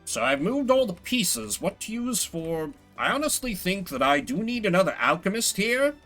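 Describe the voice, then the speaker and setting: A man's voice: high-pitched, very animated, normal speed, pushed and bright, soft background music. A man streaming a strategy game, musing aloud as he talks himself through his decisions. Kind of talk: live strategy game commentary, thinking aloud.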